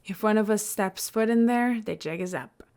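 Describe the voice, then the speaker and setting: A woman reading the part of a smooth, nonchalant man who leads a team in an audio story. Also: medium pitch, normal speed, a little mellow, natural voice. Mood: nonchalant, persuasive. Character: smooth, nonchalant male leader, welcoming and persuasive.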